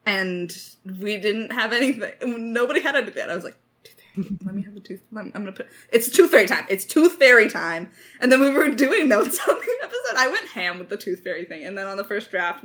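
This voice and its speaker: Female writer in a relaxed Q&A; animated, bright delivery with dramatic emphasis. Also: medium pitch. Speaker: lead writer of an audio drama. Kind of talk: casual creator Q&A chat.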